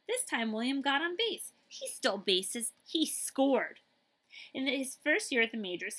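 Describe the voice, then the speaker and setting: Woman doing a kids story read-aloud, bright crisp tone, really expressive, dramatic pauses. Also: fairly high pitch. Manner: animated, dramatic emphasis and pauses. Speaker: librarian reading aloud. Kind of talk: expressive children's picture book read-aloud.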